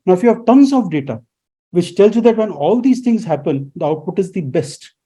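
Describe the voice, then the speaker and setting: A man's voice: sits high, expressive, brisk, dark tone, husky. A man, a guest explaining things in a radio interview and posing rhetorical questions as he lectures. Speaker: guest expert.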